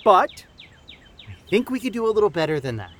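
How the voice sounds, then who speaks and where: Performer as peppy camp leader, wildly swooping pitch. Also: very animated, fairly quick. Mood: playful rousing. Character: peppy, teasing youth camp leader rousing the crowd. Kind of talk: audio drama rally address.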